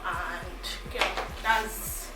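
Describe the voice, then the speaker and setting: A woman's voice: on the low side, steady tone, very slow. A young Nigerian woman with a Nigerian accent, chatting giggly and casually through a clothing try-on haul, thinking aloud with restarts. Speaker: fashion vlogger. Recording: echoey room, mic at a distance, music behind.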